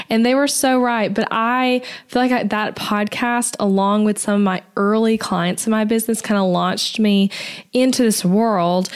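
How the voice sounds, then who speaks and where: A young adult woman hosting her own podcast, talking in an upbeat, chatty way while getting her voice back after being sick. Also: medium pitch. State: recovering voice after illness. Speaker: podcast host, web designer. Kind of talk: solo podcast talk.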